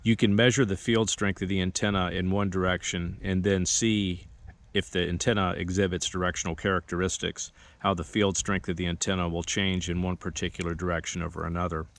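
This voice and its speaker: A man's voice: sits low, level tone, fairly quick. A man presenting an explanatory equipment demonstration in a methodical, instructional manner. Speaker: presenter of radio equipment tutorial.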